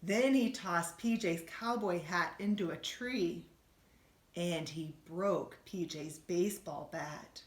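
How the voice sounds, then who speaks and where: A woman reading a children's storybook aloud like a teacher, expressively, with gentle questions to her young listeners. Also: fairly low pitch, slow. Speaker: schoolteacher reading a bedtime story. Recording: roomy, echoey room sound.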